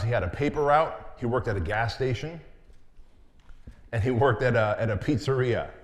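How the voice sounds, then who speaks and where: A man's voice: fairly low pitch, a little mellow. A man in his forties giving a motivational talk, telling his life story in a candid, conversational way. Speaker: motivational speaker. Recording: long hall reverb.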